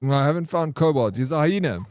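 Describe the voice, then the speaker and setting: A young man with a Johannesburg South African accent, live-streaming a game and chatting excitedly with his chat in slangy, casual talk. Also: a little high, fast talker, no room sound, studio quality.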